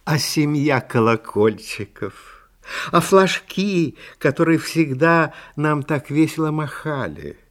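A man's voice: a little high, big pitch swings, slow. A man playing a vain, fragile, sentimental Christmas tree bauble who tells his story in a genteel, self-pitying, theatrical way in a children's fairy-tale audio play. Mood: longing.

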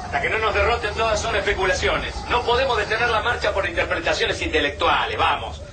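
An actor playing a resolute, gruff army officer who leads guerrillas in a radio drama, commanding and urgent. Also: male voice, high-pitched, lively intonation, fast. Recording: noisy, distant radio drama battlefield scene.

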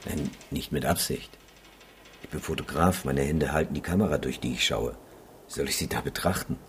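Very deep-voiced, dry male photographer. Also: normal speed, a little hiss or hum. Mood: guarded. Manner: terse, dry, polite. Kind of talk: radio drama dialogue.

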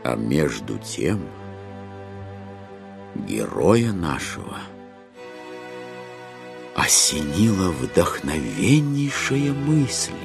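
A man narrating a dramatized literary audiobook with theatrical, measured, ironic storytelling. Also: very deep voice, big pitch swings, creaky, music in the background.

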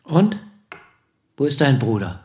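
Casting: middle-aged patriarch, mid-pitched, imperious and smug, radio drama with faint clatter behind. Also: male voice, very animated, takes it slowly, a little mellow, slight background noise. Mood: stern. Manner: imperious, patronising. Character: domineering, self-satisfied middle-aged bourgeois father. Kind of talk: German radio drama dialogue.

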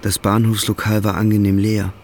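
Man, scripted drama narration, low pitch, gravelly fry, faint music. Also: level tone, normal speed, darkish tone, a little hiss or hum.

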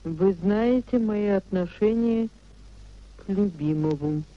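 An actress playing a proud, indignant married society lady with measured, formal delivery in a 1950s radio play. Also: medium pitch, natural voice. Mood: reading coldly. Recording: dull 1950s radio drama recording.

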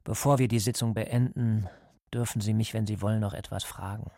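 An actor playing a coldly calm party interrogator in a radio drama, measured and didactic, paternal yet menacing, with a creaky voice. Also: male voice, on the low side, no echo, clean studio sound. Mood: cold, certain.

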